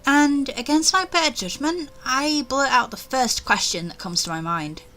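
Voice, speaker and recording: A woman reading a visual novel aloud in first person, animated and chatty, with offhand asides. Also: high-pitched, moderate pace.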